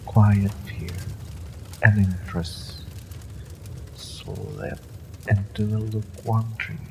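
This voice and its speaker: A man playing an evil sorcerer warlord in a fantasy audio roleplay, mocking and menacing with smug courtesy and a theatrical, drawn-out delivery. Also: low-pitched, vocal fry, noisy background, heavily acted. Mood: soft, hypnotic. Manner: taunting, theatrical, drawn-out delivery with sinister chuckles.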